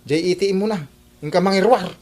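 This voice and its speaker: A man's voice: high voice, a little fast, a little mellow, natural voice. A man with an Ilocano accent acting a blunt, scolding husband worried about money in a comedy radio drama. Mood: exasperated.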